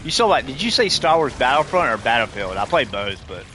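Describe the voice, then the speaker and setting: A man's voice: medium pitch, sing-song, a little fast, sharp tone, a little hiss or hum. A man in his forties chatting casually with his viewers while gaming, rambling and restarting his sentences. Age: 40s. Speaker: livestreamer talking to chat. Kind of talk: casual chat while playing.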